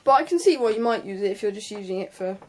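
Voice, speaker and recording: A woman's voice: mid-range voice, expressive, fast talker, a little mellow. A young woman with a mid-range voice presents a casual technical how-to. She talks off the cuff, hesitating often and tacking on offhand asides that trail away. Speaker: sound tech tutorial presenter. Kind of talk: informal unscripted hands-on tutorial.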